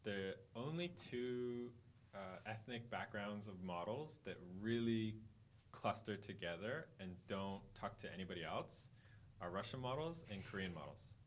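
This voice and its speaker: A man with a fairly low voice hosts an interview. He asks his questions in a relaxed manner and murmurs agreement while others talk, with faint background noise under him. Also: unhurried. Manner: relaxed, frequent "mm" backchannels. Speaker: host.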